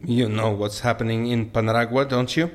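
An actor playing a deadpan, businesslike Kremlin official in an audio drama, speaking in a matter-of-fact way. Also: male voice, mid-range voice, brisk, natural voice.

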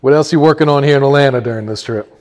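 A man hosts a casual interview, asking questions in a conversational tone at a middling pitch. The room adds a long reverb to his voice. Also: racing, darkish tone, slight background noise.

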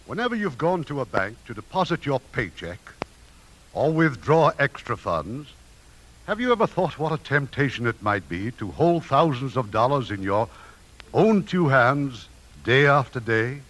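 A man's voice: medium pitch, sing-song, moderate pace, a bit bright, rough recording. A man hosting a 1950s radio theatre, giving a formal scripted introduction as producer. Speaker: radio show producer and host. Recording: 1950s radio broadcast recording.

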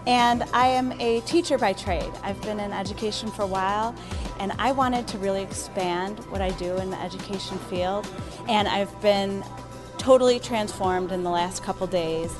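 A woman's voice: medium pitch, moderate pace, over music. A woman teacher from Chicago giving a sincere testimonial about her training.

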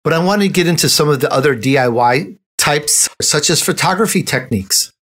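A man's voice: a little high, expressive, brisk, a bit bright. A man hosting a conversational interview, talking as an author among fellow writers. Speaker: interview host and nonfiction author.

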